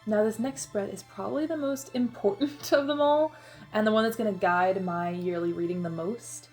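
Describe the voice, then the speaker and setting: A woman's voice: mid-range voice, moderate pace, darkish tone, soft background music. A young woman chatting casually as she narrates her own vlog, self-deprecating and full of filler words. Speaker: book vlogger.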